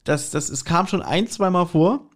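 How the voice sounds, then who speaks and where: A man's voice: high voice, expressive, moderate pace. A man hosting a casual podcast chat, telling stories in a rambling, joking way. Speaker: podcast host.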